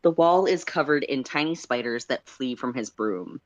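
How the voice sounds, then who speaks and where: A man's voice: medium pitch, animated, moderate pace, no echo, dull audio. A man with a mid-range voice co-hosts a story stream. His delivery is casual, whether chatting or narrating, and the sound is dry and close. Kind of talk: casual chat and fan fiction read aloud.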